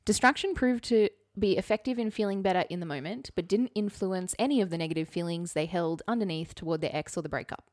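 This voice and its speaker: Low-pitched woman co-hosting a chatty podcast. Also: fast, few pauses, darkish tone. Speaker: podcast co-host. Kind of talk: casual chat, summarising and reading out articles.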